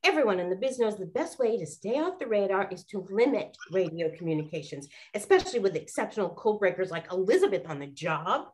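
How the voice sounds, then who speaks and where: A woman's voice: medium pitch, sing-song, brisk, few pauses, lightly acted. An actress playing a plucky, direct woman detective in a 1920s period radio play. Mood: determined.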